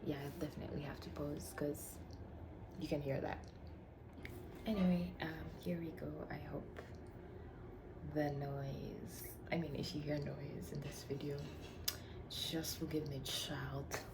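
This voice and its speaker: A woman's voice: deep voice, little pitch movement, measured pace, long pauses. A young woman from Tanzania chatting casually to camera about herself, playful and chatty, dipping into whispery murmurs. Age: young adult. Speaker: vlogger. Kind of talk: casual get-to-know-me chat. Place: shared home room. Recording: noisy home recording with roommates behind. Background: household noise.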